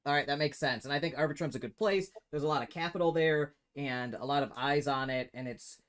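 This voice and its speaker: A man's voice: a little high, a little fast, fairly bright tone, smooth. A man hosting an online interview, upbeat and encouraging, asking long questions over a remote call mic. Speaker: interview host.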